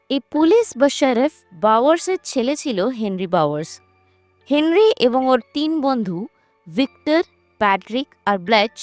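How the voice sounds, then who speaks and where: A woman reads a scripted horror recap like a storyteller. Her voice sits on the high side. The recording is dry and close, with light music underneath. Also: dry recording. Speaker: narrator of a movie recap channel. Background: background music.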